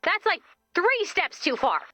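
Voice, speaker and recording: High, peppy team leader. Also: female voice, sing-song, unhurried, bright, forceful tone, no echo, heavily acted. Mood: exasperated. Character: exasperated, peppy young female team leader. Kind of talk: scripted video game voice acting.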